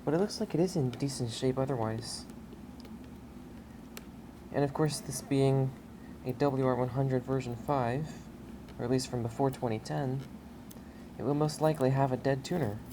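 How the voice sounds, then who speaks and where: A woman's voice: rumbling low, moderate pace. A woman giving casual hands-on commentary, thinking aloud in a matter-of-fact way, recorded in a basement. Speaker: hobbyist video creator. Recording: noisy camera recording in basement.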